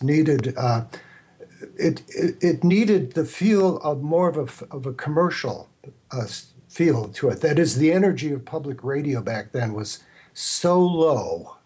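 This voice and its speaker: An older man from the United States, an interview guest, reminiscing casually in a halting, meandering way. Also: medium pitch, lively intonation, a little mellow, breathy edge. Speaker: interview guest, radio producer.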